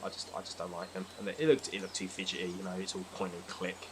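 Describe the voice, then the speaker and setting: A man with a slightly low voice chats casually as a podcast guest. He talks at a brisk clip. There is audible noise behind him on the recording. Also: completely flat delivery. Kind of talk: casual film and game chat.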